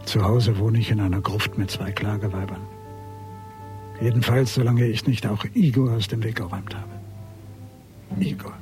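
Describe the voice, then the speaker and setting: A man's voice: lowish voice, fairly even intonation, moderate pace, pauses often, over music, lightly acted. An actor playing a haunted father's scornful, brooding inner monologue in a radio crime drama. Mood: bitter, brooding.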